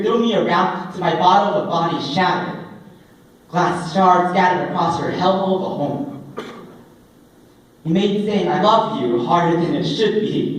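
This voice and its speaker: A young man performing spoken word poetry live, with a dramatic, deliberate delivery and drawn-out phrases. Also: high voice. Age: young adult. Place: indoor open mic coffee house event. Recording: echoey live room, distant microphone.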